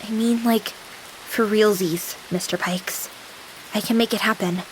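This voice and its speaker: A woman's voice: mid-range voice, fairly even intonation, measured pace, slight background noise, natural voice, low-quality recording. A woman playing a young female AI companion with an anime-girl persona in a visual novel game, devoted and formal but playful. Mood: coaxing. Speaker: game character.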